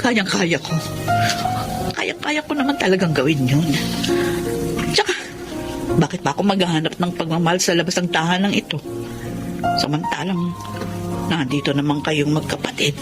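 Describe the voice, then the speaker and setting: A woman's voice: lowish voice, lively intonation, normal speed, pauses often, natural voice. A woman with a Filipino accent playing an earnest, repentant middle-aged mother in an emotional radio drama. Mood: remorseful, tender. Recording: loud music bed behind voice.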